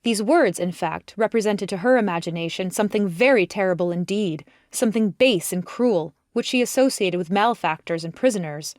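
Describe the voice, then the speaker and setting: A woman's voice: medium pitch, brisk, hardly any pauses, no room sound. Woman narrating a novel, close dry sound. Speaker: audiobook narrator. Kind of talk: scripted literary narration.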